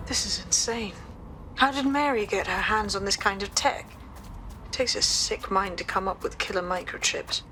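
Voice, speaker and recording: A woman's voice: medium pitch, normal speed, bright, forceful tone, slight background noise, lightly acted. A woman playing an earnest resistance organiser in a video game, serious and businesslike. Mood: disgusted.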